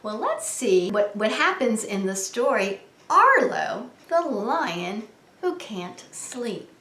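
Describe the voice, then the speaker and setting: A woman's voice: on the high side, sing-song, slow pace, fairly bright tone. A woman hosting a preschool story time, talking to young children in a sing-song way with simple questions. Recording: roomy, echoey room sound.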